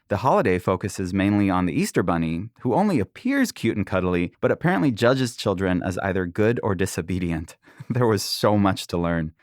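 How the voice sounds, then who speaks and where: A man in his forties, born in Israel, calmly reading aloud from his own book as a podcast host, with an occasional soft chuckle. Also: sits low, dry recording, clean studio sound.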